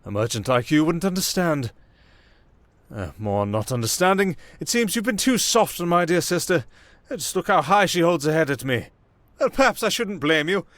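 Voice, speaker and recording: A man putting on a pompous, high-pitched aristocrat. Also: expressive, moderate pace, fairly bright tone, no echo. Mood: smug, haughty. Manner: haughty, mocking, theatrical. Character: pompous, sneering, drunken young disgraced male aristocrat. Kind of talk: roleplay audio drama.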